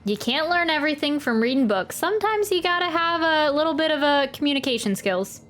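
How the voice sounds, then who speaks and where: A woman's voice: sits high, big pitch swings, brisk, a bit bright. A woman doing casual live commentary as she plays a game, exclaiming and repeating short phrases as she reacts. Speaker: game streamer reacting to a playthrough. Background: game music.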